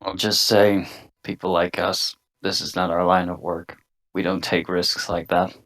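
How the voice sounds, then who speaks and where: Deep male voice, man acting a grumbling weary scientist, profane, improvised tabletop roleplay. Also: level tone, measured pace, fairly bright tone. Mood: disgruntled. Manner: gruff, profane, world-weary griping. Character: jaded, grumbling male union scientist with medical training.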